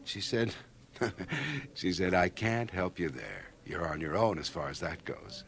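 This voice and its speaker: A wealthy, ambitious society man in a radio play. His deep voice swings expressively, and he confides with hearty, pompous self-satisfaction. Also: lively intonation, unhurried, a bit bright, full character voice. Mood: confiding, smitten. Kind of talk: radio drama acting. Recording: 1980 radio drama on cassette.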